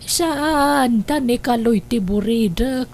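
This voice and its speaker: A woman voicing a frail, dying elderly mother in an Ilocano audio drama, speaking weakly and slowly. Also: a little high, a little mellow, a little hiss or hum. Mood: frail, tender. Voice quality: gravelly, slow and weak. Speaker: voice actor.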